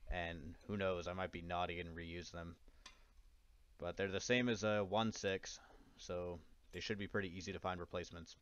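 A man's voice: deep voice, flat delivery, moderate pace, fairly bright tone. A man narrating a casual how-to video as its host, with wry, self-deprecating asides, in a voice-over. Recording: dull, lo-fi, dry voice-over.

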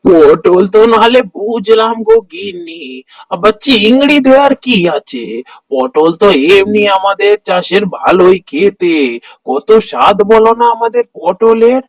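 A man's voice: sits high, expressive, a little slow, dry recording, poor audio. A man voicing a stingy, crafty old village father-in-law in a cartoon folk tale, grumbling, haggling and exclaiming. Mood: reluctant. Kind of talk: Bengali cartoon fairy-tale dialogue.

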